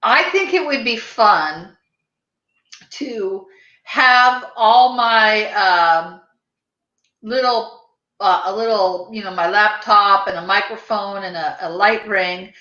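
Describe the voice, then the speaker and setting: A woman's voice: medium pitch, slow pace, sharp tone. An American woman hosting a podcast in casual, chatty, rambling conversation. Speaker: podcast host. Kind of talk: casual chat. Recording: roomy echo, dull lo-fi sound.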